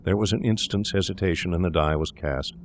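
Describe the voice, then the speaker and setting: Deep-voiced man narrating a gothic tale. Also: fairly quick, a little hiss or hum. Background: eerie ambience.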